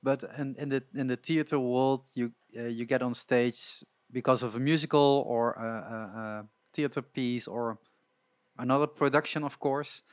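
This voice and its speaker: A man's voice: medium pitch, fairly even intonation, moderate pace, no echo. Hesitant male interviewer, dry sound. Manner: hesitant, repeats words. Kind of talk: interview questions.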